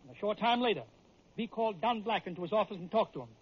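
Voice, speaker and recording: A high-pitched, husky American man narrates sports tales like a classic radio host. His delivery is theatrical and emphatic, captured on a dull vintage radio recording. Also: animated, fast talker. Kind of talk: dramatic scripted narration, old-time radio.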